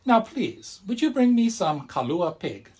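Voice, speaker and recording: A man's voice: high voice, very animated, measured pace, darkish tone, breathy edge, voice pushed up, full character voice. A man voicing an exasperated but loving Hawaiian grandmother in a children's story, with emphatic exclamations. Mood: pleading, exasperated.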